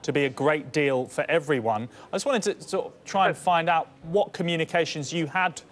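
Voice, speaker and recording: Higher-voiced male interviewer, halting. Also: fairly bright tone. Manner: hesitant, halting phrasing. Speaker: sports news interviewer. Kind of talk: live broadcast interviewing.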